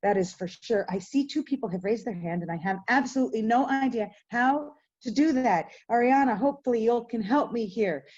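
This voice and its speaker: An earnest woman hosting an online memorial talk, with a mellow, soft-edged voice. She speaks reflectively and emphatically, leaning on key words. The sound is dull webinar audio from indoors. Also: on the high side, expressive, a little fast, few pauses, no room sound. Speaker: host of an online commemoration.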